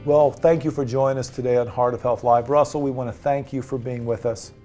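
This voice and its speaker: A man's voice: mid-range voice, steady tone, a little fast, music in the background. Chatty male doctor hosting a talk show. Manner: friendly, encouraging, chatty. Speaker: talk show host, physician. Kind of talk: casual interview wrap-up.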